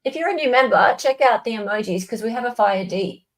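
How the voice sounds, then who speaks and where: A woman's voice: a little high, expressive, a little fast. A woman chatting casually as host of a livestream.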